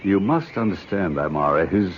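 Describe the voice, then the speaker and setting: An actor playing a stern, patronizing uncle who leads a jungle expedition, lecturing with hesitant pauses in an old-time radio drama. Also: male voice, low-pitched, very animated. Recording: dull, noisy old radio recording.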